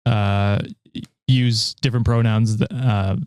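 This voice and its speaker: A young man hosting a podcast, reading a story aloud and chatting about it in a relaxed, dry way. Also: on the low side, a little slow, no echo. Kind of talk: story reading with casual chat.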